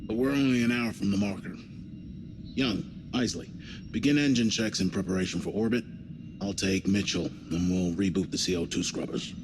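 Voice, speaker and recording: Actor as deep-voiced, seasoned spaceship commander. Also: male voice, steady tone, background noise, close to an everyday voice. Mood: steady. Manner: measured, authoritative. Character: seasoned, fatherly male spaceship commander nearing retirement. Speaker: spaceship mission commander character. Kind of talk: cinematic video game acting. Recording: music behind the voice.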